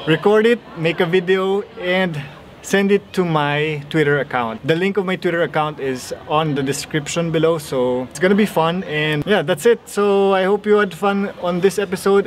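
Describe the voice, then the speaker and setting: A man in his thirties from the Philippines, hosting his own vlog in a relaxed, chatty way, recorded outdoors on a city street. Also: fairly high pitch. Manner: chatty, relaxed, filler-heavy. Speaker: vlogger host. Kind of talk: casual vlog chat. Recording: outdoor street noise behind.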